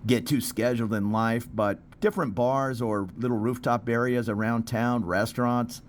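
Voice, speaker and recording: Man, casual street vlog, rambling chatter, mid-pitched voice, loud traffic behind. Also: fairly even intonation, moderate pace. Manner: rambling, chatty, many fillers. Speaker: expat vlogger. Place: outdoors on a city street.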